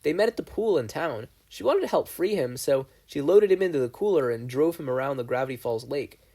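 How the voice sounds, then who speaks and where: A man voicing an anxious, earnest young teenage boy in a read-aloud story, with a gravelly, gentle coaching manner. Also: on the high side, expressive, pitched above the performer's own voice. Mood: coaxing. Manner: gentle, coaching, talks fast.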